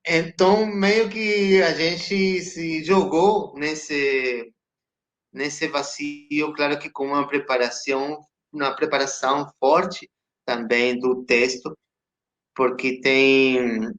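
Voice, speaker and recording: A man's voice: a little high, steady tone, a bit bright, dull audio. Chilean man speaking with a Spanish accent. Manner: reflective, halting with many restarts. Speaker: guest actor and theater director. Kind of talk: casual interview chat. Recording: roomy echo.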